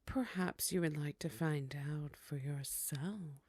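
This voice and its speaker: A woman's voice: deep voice, slow pace, darkish tone, breathy edge, no echo, exaggerated voice. A woman playing a teasing, courtly vampire hostess in a scripted ASMR roleplay, speaking in a coy, formal way. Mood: flirtatious.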